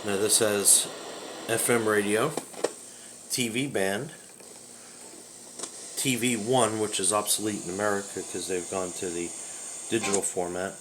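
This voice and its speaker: A man with a somewhat low voice talks loosely and easygoingly, thinking aloud as he handles a device at home. A handheld camera catches him close up. A steady hiss of background noise runs under his voice. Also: unhurried, long pauses. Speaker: radio collector demonstrating a radio. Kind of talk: casual product demo. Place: home room with a window. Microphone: handheld camera close to the radio.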